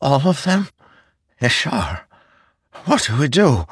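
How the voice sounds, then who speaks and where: A man voicing an anguished, fearful immortal herald in an audiobook, halting and pleading. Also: medium pitch, expressive, slightly rough, no echo, studio quality, voice pushed up, heavily acted. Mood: alarmed.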